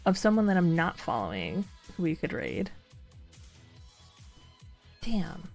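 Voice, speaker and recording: Brief: young woman host, loud and chatty, very lively pitch. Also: slow, faint music behind. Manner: loud, laughs a lot, chatty. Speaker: streamer host. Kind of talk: casual chat with friends on a game stream.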